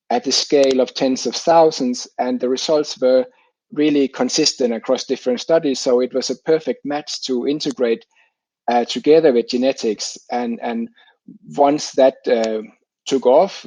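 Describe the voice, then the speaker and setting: A middle-aged man from Denmark, explaining his scientific work as an interview guest in halting phrases with repeated words. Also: medium pitch, warm tone, no echo, rough recording. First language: Danish.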